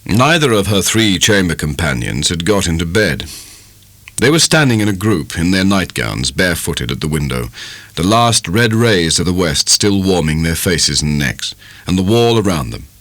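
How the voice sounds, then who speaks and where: Deep-voiced, expressive British male narrator. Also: moderate pace, no echo. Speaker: audiobook narrator. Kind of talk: dramatic scripted literary narration.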